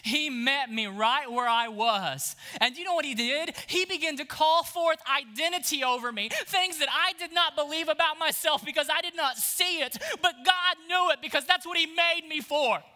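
A man in his thirties preaching an energetic sermon on a church stage, swinging from hushed lines to shouts. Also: extremely high pitch, normal speed, hardly any pauses. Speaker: pastor.

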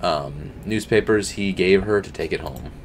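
A young man reading a scary story aloud at home, tripping over words now and then and adding quiet asides. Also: low-pitched, normal speed, a little hiss or hum. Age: young adult. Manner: reads with slips and restarts, drops to quiet asides. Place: home streaming room, indoors.

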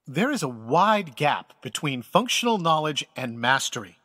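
An actor playing a wise, secretive old space admiral in an audio drama, speaking as a measured, formal, earnest mentor. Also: male voice, a little high, big pitch swings, bright, forceful tone, dry recording, clean studio sound, heavily acted.

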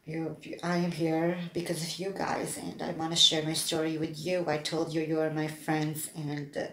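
A woman vlogger chatting casually to the camera in a halting, hesitant way as she gets ready. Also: low voice, little pitch movement, a little hiss or hum. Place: plain-walled room indoors. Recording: echoey room.

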